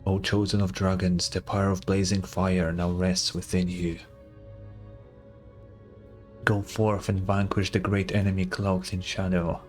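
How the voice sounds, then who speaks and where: A man reads as an ancient mystical being handing down trials in a fantasy game. The delivery is low, grave and ceremonial, with music behind it. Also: flat delivery, a little slow, a little mellow. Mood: solemn. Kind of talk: amateur reading of game dialogue.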